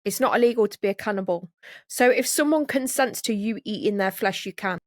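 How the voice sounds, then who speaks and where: A woman's voice: medium pitch, a little fast, no room sound. A woman co-hosting a podcast, chatting playfully and asking questions, with frequent giggles. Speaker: podcast co-host. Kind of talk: casual chat.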